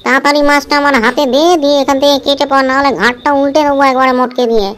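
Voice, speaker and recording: A woman's voice: high-pitched, flat delivery, rapid-fire, a bit bright. A woman voicing a bullying, shameless male cartoon ghost with a threatening, taunting manner. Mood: menacing, scornful. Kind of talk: cartoon voice acting.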